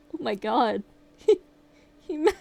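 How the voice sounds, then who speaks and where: A woman streamer reacting live to a story game in a giggly, animated way. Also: a little high, slow pace, creaky.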